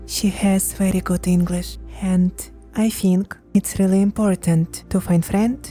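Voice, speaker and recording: A young woman with a Ukrainian accent, speaking careful non-native English with hesitant pauses, telling her own story in a personal vlog. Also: mid-range voice, dark tone.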